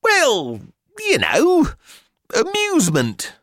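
A man's voice: very high-pitched, wildly swooping pitch, extremely slow, fairly bright tone, no echo, studio quality, pitched above the performer's own voice, heavily acted. A man voicing a jolly but long-suffering mother in a storybook, coaxing and effusive.

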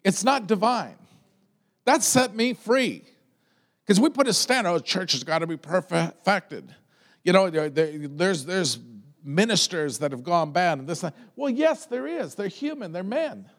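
A pastor preaching a sermon to his church. His voice is loud and set high for a man, and he talks in a relaxed way, stacking up repeated words as he builds a point. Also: unhurried.

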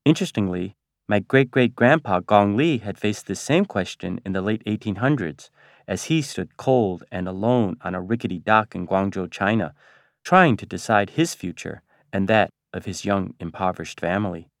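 A man's voice: on the low side, lively intonation, moderate pace, dry recording, studio quality. An American man narrating his own memoir as a scripted audiobook. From Detroit, United States. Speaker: author reading own memoir.

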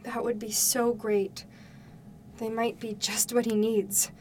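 A woman's voice: a little high, flat delivery, takes it slowly, darkish tone, far from the mic, slight background noise. A woman playing a caring, mildly skeptical mother in an audio drama, with a conversational, questioning delivery. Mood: softening. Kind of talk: naturalistic audio drama acting.

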